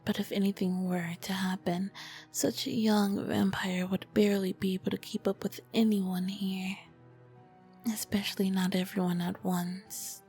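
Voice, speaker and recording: A woman playing an ancient, charming, flirty vampire queen in a whispered ASMR roleplay, with elegant, formal diction and a soft breathy voice. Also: medium pitch, level tone, measured pace, faint music behind, lightly acted. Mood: confident, persuasive.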